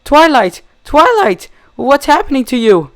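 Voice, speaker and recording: A frantic female pony in a dark storybook reading, acted out by a man. The voice leaps very high and turns shrill, pleading in terror with desperate cries. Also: very animated, a little slow, no echo, exaggerated voice. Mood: panicked, desperate. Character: frantic, terrified female pony, screaming in anguish.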